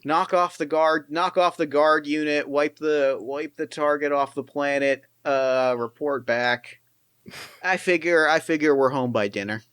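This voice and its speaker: A man's voice: a little high, steady tone, unhurried, fairly bright tone, clear, resonant tone, no room sound. A man voicing a cocky, showy male mercenary squad leader who treats the mission as easy work. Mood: swaggering. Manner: breezy, showy, theatrical.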